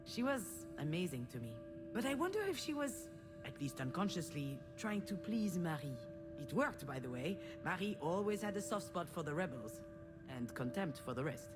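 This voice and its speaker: Visual novel lead: a rueful, self-effacing grown daughter, low-pitched, reflective confessional storytelling, prominent music bed. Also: female voice, sing-song, normal speed. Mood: admiring, wry. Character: self-deprecating, wistful adult daughter recalling family troubles. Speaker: narrator and protagonist of a visual novel. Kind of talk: confessional first-person scripted narration. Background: loud music.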